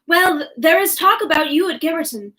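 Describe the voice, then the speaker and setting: A woman's voice: extremely high pitch, expressive, fairly quick, pushed and bright, voice pushed up, full character voice. A woman voicing a chatty, gossipy country housekeeper in a broad rustic put-on dialect.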